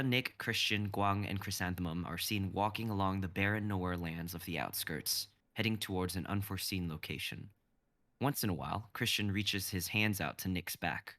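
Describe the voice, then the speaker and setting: A young man in his twenties reading stage directions as narrator of a live script reading, in an even manner. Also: low voice, gravelly. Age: 20s.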